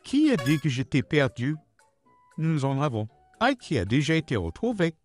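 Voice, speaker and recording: Big jovial cartoon dog male actor, showy and friendly, lively swings in pitch, music behind. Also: moderate pace. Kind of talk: French fan comic dub acting.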